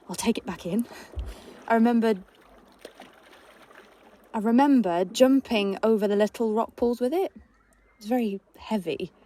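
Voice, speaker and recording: A woman's voice: medium pitch, darkish tone. A woman sharing personal memories in an earnest, conversational, emphatic way, reflecting as she speaks.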